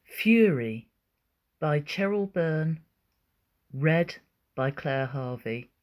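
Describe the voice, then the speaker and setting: Slow, even-toned woman narrating. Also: low-pitched. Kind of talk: scripted dramatic story narration.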